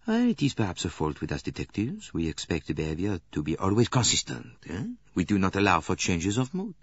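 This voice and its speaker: A man's voice: low-pitched, huge pitch swings, fairly quick, breathy edge, muffled recording, full character voice. A man reading an audiobook and voicing a fussy, shrewd older male Belgian detective with precise, courteous phrasing.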